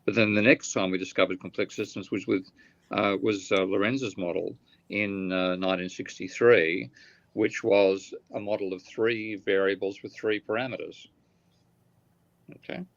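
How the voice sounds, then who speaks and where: A weary, gravelly man with a low-set voice talks through ideas on a video call, stopping and restarting as he thinks aloud. The call audio is dull and lo-fi. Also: fairly even intonation, normal speed. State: tired, voice getting croaky. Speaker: guest economist. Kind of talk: explaining ideas in a live online discussion.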